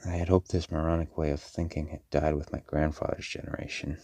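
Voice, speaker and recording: A man playing a firm, protective werewolf father in an audio roleplay, speaking to a child in a measured, caring but stern way. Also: a bass voice, flat delivery, dry recording. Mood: serious, protective. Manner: measured, parental, gently firm.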